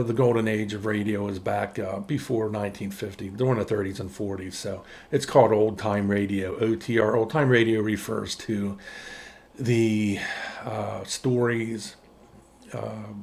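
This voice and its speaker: Guy explaining stuff casually, kinda low creaky voice, rambles a bit. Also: fairly even intonation, moderate pace, darkish tone. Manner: rambling, hesitant. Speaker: presenter.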